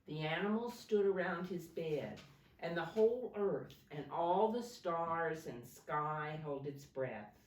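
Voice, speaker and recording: A woman reads a picture book aloud to preschoolers like a teacher, expressively and deliberately, addressing the young listeners directly. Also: sits low, animated. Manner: slow, deliberate, pausing to ask young listeners questions. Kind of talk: expressive children's storybook read-aloud. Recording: roomy echo, distant microphone.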